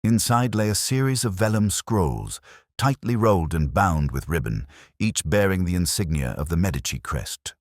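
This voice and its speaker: Male audiobook narrator, extremely low register, lively intonation, dramatic literary delivery, dry close sound. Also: normal speed, dry recording.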